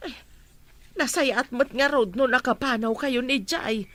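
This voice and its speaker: A woman's voice: high voice, a little slow, fairly bright tone, no echo. A woman playing a worried, tender mother in a radio drama, with an Ilocano accent. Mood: relieved, concerned.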